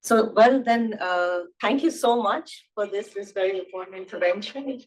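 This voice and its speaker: A woman from India hosting a conference session at a medium pitch. She talks in a chatty, informal way while passing the floor to the next chair. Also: normal speed, rough recording. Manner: self-described loud voice, chatty. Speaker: session host. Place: conference hall.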